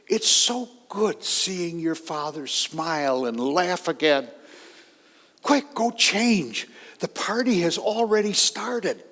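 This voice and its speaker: A man voicing an excited member of the household announcing a homecoming. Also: high voice, slow pace, heavily acted. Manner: excited, urging. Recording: long hall reverb.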